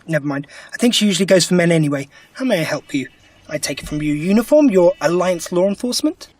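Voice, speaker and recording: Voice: medium pitch, expressive, quick pace, a little mellow, far from the mic, lightly acted. An actor playing a quirky, wry time-travelling alien adventurer in an audio drama, chatty and given to grumbling.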